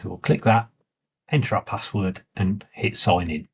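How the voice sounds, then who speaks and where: Low-voiced man presenting a software tutorial. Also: normal speed, vocal fry, husky. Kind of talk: step-by-step screen walkthrough narration.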